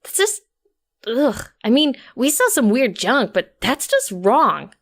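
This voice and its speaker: A woman's voice: sits high, big pitch swings, slow, fairly bright tone, pitched above the performer's own voice, exaggerated voice. A woman voicing a brash young pegasus mare who exclaims bluntly and informally in disgust.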